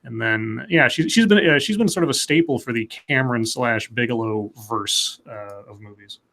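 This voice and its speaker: Bright, forward-sounding male podcast panelist. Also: mid-range voice, moderate pace, gravelly. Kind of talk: casual chat.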